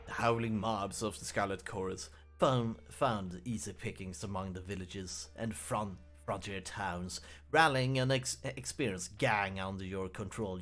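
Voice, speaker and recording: A man's voice: fairly low pitch, fairly bright tone. A man hosting a let's play, reading the game's text aloud and often restarting words as he stumbles.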